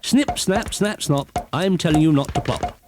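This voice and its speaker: A man voicing a bossy but kindly woman witch in a children's story, brisk and matter-of-fact. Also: on the high side, expressive, voice pushed up, lightly acted. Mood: cross. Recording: hissy 1980s cassette tape transfer.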